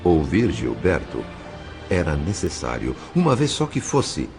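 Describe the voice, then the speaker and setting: A Brazilian man playing a grave spirit narrator in an audio novel, telling a tragic story in a solemn, literary, measured way. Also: extremely low pitch, wildly swooping pitch, gravelly, music in the background, full character voice. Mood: solemn, dramatic. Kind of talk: dramatised scripted narration.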